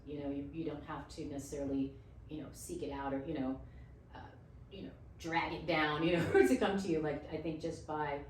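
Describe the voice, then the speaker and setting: A woman's voice: low-pitched, a little mellow, slight background noise. A woman interviewing a guest, asking long, winding questions full of fillers and easy laughter. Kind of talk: casual interview conversation. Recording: very echoey room, voice far from mic.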